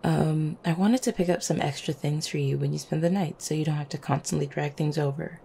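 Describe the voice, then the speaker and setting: A woman playing an affectionate, playful vampire girlfriend in an intimate ASMR roleplay, teasing gently with small hesitant stammers. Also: low-pitched, fairly even intonation, brisk, creaky, slight background noise. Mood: earnest, tender. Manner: soft-hearted, teasing, hesitant little stammers and chuckles.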